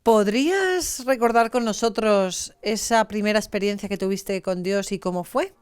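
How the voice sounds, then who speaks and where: A woman's voice: medium pitch, moderate pace, over music. A woman hosting an interview show, welcoming her guest and asking guiding questions. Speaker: show host.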